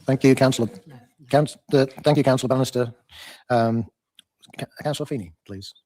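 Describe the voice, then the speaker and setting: A man's voice: mid-range voice, a little fast, a little mellow, no echo. A man chairing a council meeting, briefly thanking speakers and calling the next one with some hesitation.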